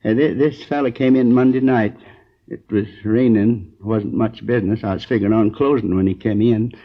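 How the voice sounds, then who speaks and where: An American man playing an injured, groggy storekeeper in his sixties, rambling haltingly and earnestly in a 1950s radio crime drama. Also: fairly low pitch, steady tone, natural voice. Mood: groggy, hazy. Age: 60s. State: groggy, in pain. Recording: dull old 1950s radio recording.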